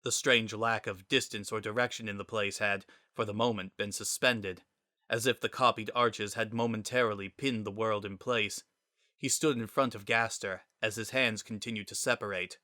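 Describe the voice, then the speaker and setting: Low, crisp-edged male story narrator. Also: steady tone, moderate pace, pushed and bright, no room sound, studio quality. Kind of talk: dramatic scripted story narration.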